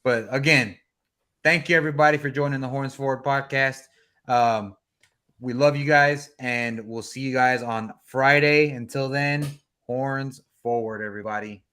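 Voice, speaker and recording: Bright-voiced man hosting a fan podcast. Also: medium pitch, steady tone, moderate pace, clean tone. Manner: hyped, rambling with fillers. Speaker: podcast host. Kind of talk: casual fan podcast chat.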